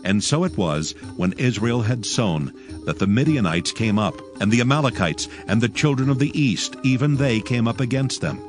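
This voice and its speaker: A man giving a measured, solemn scripted narration of scripture. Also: mid-range voice, animated. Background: music.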